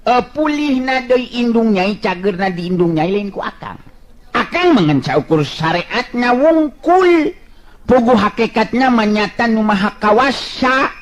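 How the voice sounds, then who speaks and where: A man playing a high, animated folk hero. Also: a little slow. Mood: earnest, humble. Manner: animated, chuckling, coaxing. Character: cheerful, humble, teasing male folk hero, married wanderer.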